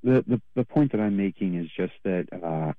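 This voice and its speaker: A man's voice: deep voice, animated, normal speed, no echo. A man calling in to a radio show, talking hesitantly with many fillers over a telephone line. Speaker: radio caller. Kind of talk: call-in radio comment. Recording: tinny phone line.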